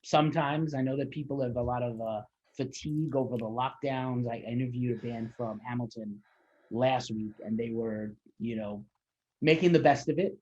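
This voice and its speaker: Husky-voiced enthusiastic interviewer, lo-fi. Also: male voice, mid-range voice, normal speed, darkish tone. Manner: enthusiastic fan, rambling. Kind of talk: casual interview chat.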